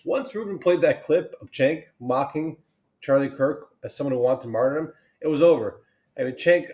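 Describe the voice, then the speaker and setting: A man giving emphatic, disparaging political commentary in an opinionated style. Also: a little high.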